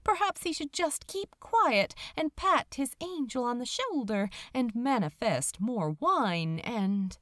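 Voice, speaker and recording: Animated woman narrating a story, unhurried. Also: mid-range voice, no room sound, clean studio sound. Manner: expressive, measured, deliberate pauses. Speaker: fan fiction narrator. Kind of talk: dramatic scripted audiobook narration.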